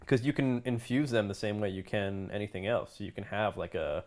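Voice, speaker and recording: A man's voice: fairly low pitch, a little fast, a little mellow. A talkative man from the United States co-hosting a casual chat, explaining his points conversationally.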